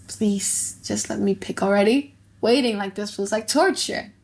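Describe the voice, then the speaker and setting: A woman's voice: mid-range voice, slow, vocal fry, a little hiss or hum. A woman voicing an earnest, impatient male suitor tormented by love in an audiobook play, sighing as she speaks.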